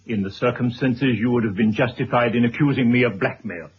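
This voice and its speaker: An actor playing a calm, calculating older gentleman in a radio drama, speaking in a dry, measured, formal way. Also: medium pitch, close to an everyday voice. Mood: dry, coolly ironic. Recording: dull, hissy old radio recording.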